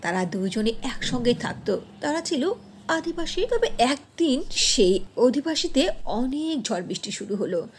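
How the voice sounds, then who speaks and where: A woman's voice: high-pitched, sing-song, unhurried, slight background noise. A woman narrating a scripted cartoon story as the storyteller.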